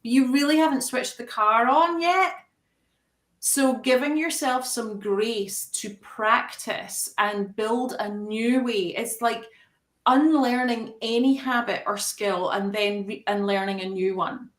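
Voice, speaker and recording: A woman coach, a guest, explaining calmly and clearly with everyday analogies. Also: a little high. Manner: calm, measured explanations using analogies. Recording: echoey room.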